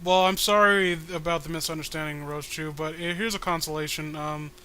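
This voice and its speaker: A man's voice: a little high, steady tone, fairly quick, a crowd in the background, natural voice. A man voicing a timid, beleaguered male cartoon hero in a mock webcomic reading, hesitant and plaintive. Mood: sheepish, apologetic.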